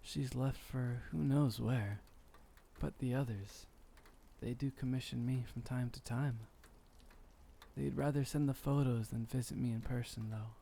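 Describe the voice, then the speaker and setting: Man as ancient male vampire painter, mellow creaky voice, unhurried, tender and wistful, formal wording, intimate ASMR roleplay. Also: medium pitch, fairly even intonation. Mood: wistful musing. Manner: soft-spoken, unhurried, formal old-fashioned phrasing, musing. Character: gentle, melancholy, centuries-old male vampire painter, devoted lover.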